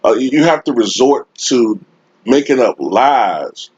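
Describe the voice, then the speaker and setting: A man with a deep, husky voice talks slowly and emphatically, like an informal sports commentator addressing his online viewers. He stretches out his points in a casual, opinionated rant. The desktop USB microphone recording is close and dry but somewhat dull. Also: expressive, darkish tone, dry recording. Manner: emphatic, informal, drawn-out delivery with frequent rhetorical tags. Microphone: Blue Snowball-type desktop USB microphone.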